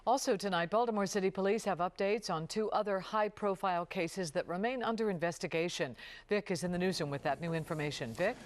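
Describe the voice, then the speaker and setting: Low-voiced female news anchor. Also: fairly quick. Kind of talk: scripted news reading.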